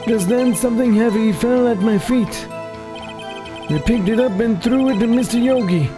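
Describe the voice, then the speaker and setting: A man voicing a solemn, refined, guilt-ridden prosecutor in a video game, speaking formally and gravely. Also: extremely high pitch, moderate pace. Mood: grave recollection. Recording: loud game music underneath. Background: video game music.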